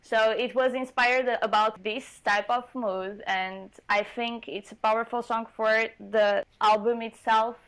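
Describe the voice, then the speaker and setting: A woman's voice: fairly high pitch, fairly bright tone, rough recording. A woman from Portugal, a singer talking earnestly as a guest in an interview, with slightly phrasing. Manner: earnest, laughs often, slightly non-native phrasing. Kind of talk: casual interview chat.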